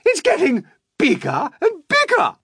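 Guy doing a shrieky bossy storybook auntie, super high and a bit husky, easily worked up. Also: wildly swooping pitch, unhurried, a bit bright, no echo, studio quality, heavily acted. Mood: alarmed amazement. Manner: shrieking, commanding exclamations. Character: shrill, bossy, excitable middle-aged aunt, a woman. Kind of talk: dramatic children's storybook character reading.

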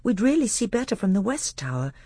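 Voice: medium pitch, sing-song, a little fast, warm tone, breathy edge, no room sound, studio quality, pitched above the performer's own voice. A storybook reader voicing a polite young boy prince with an earnest, eager manner. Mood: disappointed. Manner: earnest, eager, awestruck questioning. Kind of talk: audiobook character voice.

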